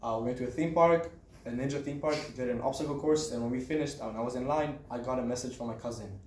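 A man's voice: mid-range voice, quick pace, a little mellow. A young man from Israel, a student giving a prepared personal speech in a small classroom. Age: teenager to young adult. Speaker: student speaker. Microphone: camera on a desk, several metres off. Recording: roomy, distant mic, faint noise.

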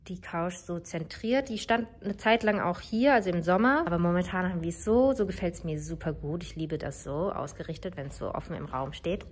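A woman in her twenties, a bit hoarse from a cold, chatting casually into her phone camera while showing her apartment, with many fillers as she thinks aloud. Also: on the low side, brisk, hardly any pauses. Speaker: vlogger. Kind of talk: casual home vlog. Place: large room in an apartment with high ceilings. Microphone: phone camera, selfie or mirror filming.

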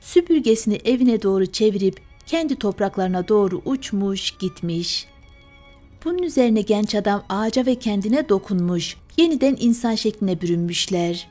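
A woman's voice: medium pitch, expressive, moderate pace, dark tone. Woman narrating a bedtime fairy tale over music. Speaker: storyteller. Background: music and fire sounds.

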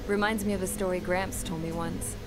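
A folksy, tough young woman mechanic , played by an actress, narrates a video game cutscene. Her voice is on the low side and colloquial, with loud music swelling beneath it. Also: fairly even intonation, brisk, natural voice, low-quality recording. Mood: wry storytelling. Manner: colloquial, storytelling.

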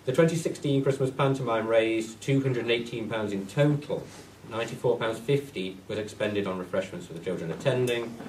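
A man's voice: medium pitch, fairly quick, recorded from a distance. A man with a cold, sounding bunged up, reading a clerk's report to a council meeting. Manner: plain, steady reading of figures, with asides. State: congested with a cold. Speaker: parish clerk. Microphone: far room mic. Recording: echoey hall, voice off mic, faint hiss.

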